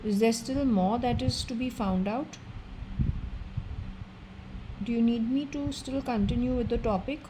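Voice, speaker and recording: A woman's voice: a little high, measured pace, pauses often, background noise. Earnest Indian-accented woman reader. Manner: earnest, addresses an unseen spirit directly. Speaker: tarot and occult reader. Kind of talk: solo spiritual reading, spoken to camera.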